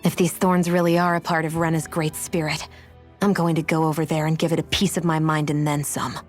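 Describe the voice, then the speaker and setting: A woman's voice: deep voice, flat delivery, a little fast, soft background music, close to an everyday voice. A woman playing a blunt, guarded, strong-willed young fighter in a video game. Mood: grim, defiant. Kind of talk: scripted video game voice acting.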